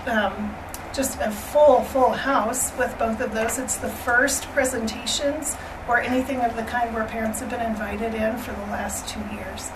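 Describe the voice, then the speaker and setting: Hoarse woman presenting, heard from afar. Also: fairly high pitch. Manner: friendly, chatty, frequent ums and throat clearing. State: losing her voice, hoarse. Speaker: elementary school staff presenter. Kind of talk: slide presentation at a school board meeting. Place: school board meeting room. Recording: distant room mic with steady noise.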